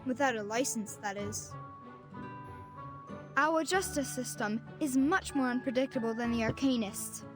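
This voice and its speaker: High cheeky young street magician girl from a game, actress doing it, talky and theatrical, music under it. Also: unhurried, lightly acted. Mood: breezy, sardonic. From Bosnia and Herzegovina. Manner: theatrical, talkative, teasing. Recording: music behind.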